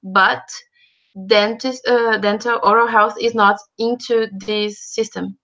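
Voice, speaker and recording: Woman host, higher voice, friendly short replies, muffled call audio. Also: fairly even intonation, slow, sharp tone. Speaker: host of an online meetup. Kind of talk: casual chat. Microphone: video call. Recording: dull, lo-fi video-call audio.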